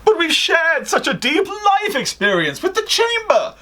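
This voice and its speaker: A man voicing an overeager, lovestruck teenage schoolboy from a story, in a gushing, drawn-out, exaggerated way. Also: very high voice, huge pitch swings, bright, forceful tone, pitched above the performer's own voice, exaggerated voice. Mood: pleading.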